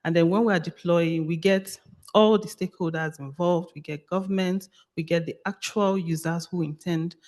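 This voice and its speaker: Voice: mid-range voice, steady tone, normal speed, a little mellow. A speaker with a Nigerian accent giving an explanatory answer in a panel discussion, stressing points by repeating phrases. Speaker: panelist on AI language models.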